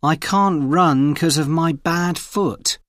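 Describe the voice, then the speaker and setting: Man, slightly higher voice, slow, expressive, dry studio. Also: no echo. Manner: slow, clear, expressive reading. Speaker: example sentence reader. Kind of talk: reading example sentences.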